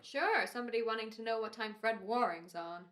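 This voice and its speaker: A woman's voice: fairly high pitch, animated, a little fast, a bit bright. A woman playing a worn-out, dryly wisecracking performer in an old-time American radio comedy. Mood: sarcastic. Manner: dry, sarcastic, casual.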